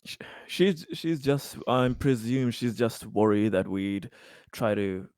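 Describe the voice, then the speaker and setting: A man playing a tabletop roleplaying game, talking in character in a measured, halting, formal way. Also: on the low side, lively intonation, a little mellow, smooth, no room sound, studio quality.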